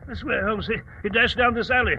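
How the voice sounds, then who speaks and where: A man playing an anxious, loyal English gentleman with a fussy, exclamatory manner in an old radio drama. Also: very high voice, fairly even intonation, brisk, over music. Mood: nervous urgency. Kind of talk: 1940s radio drama acting. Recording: dull, noisy old radio recording.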